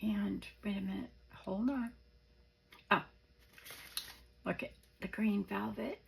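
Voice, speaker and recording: Hoarse, slow-talking woman gushing softly. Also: mid-range voice, fairly even intonation, slight background noise. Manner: soft-spoken, gushing, often drops to a whisper. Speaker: craft vlogger. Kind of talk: casual show-and-tell.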